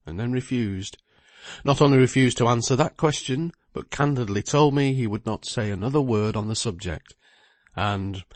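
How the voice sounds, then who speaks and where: A man's voice: medium pitch, dry recording, pitched above the performer's own voice, lightly acted. A man voicing a busy middle-aged lawyer who speaks in a precise, emphatic way. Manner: precise, emphatic, talks quickly.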